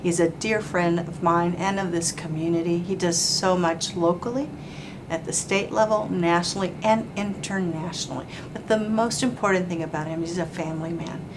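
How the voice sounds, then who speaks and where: A woman hosting an interview, warm and formal, full of praise and asides. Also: fairly low pitch, steady tone, normal speed, noisy background. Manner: effusive, praising, fond of "you know" and "like". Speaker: interview host, chamber of commerce president.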